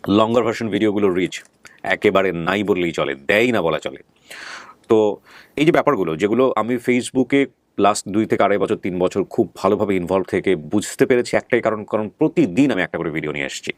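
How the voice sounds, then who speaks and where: A man's voice: on the low side, expressive, a little fast, dry recording. A man hosting an online show, talking earnestly and reflectively to his viewers with fillers and restarts. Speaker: host. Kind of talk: casual talk to viewers.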